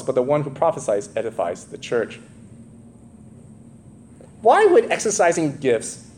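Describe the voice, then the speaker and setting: A man's voice: medium pitch, darkish tone, slight background noise. A man preaching an expository sermon in an emphatic teaching manner, often checking in with questions to his listeners. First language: English. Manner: asks the congregation questions, frequent check-in tags like "right?" and "okay?".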